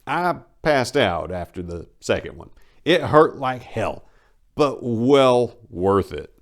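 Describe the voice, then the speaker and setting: A man's voice: medium pitch, very animated, slow. A man narrating a first-person memoir as a dramatic reading, in a brash, wry, colloquial storytelling manner.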